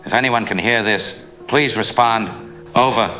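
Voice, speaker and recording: Man acting as deep, tense trapped survivor. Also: sharp tone, faint music behind, low-quality recording. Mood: urgent, pleading. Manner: halting, anxious. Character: trapped, despairing male survivor calling on radio. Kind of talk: apocalyptic radio drama monologue.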